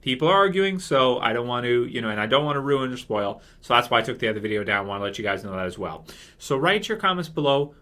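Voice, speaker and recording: A man's voice: mid-range voice, bright, forceful tone. A man hosting a casual fan commentary video, talking in a rambling way that circles back over his points. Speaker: video host.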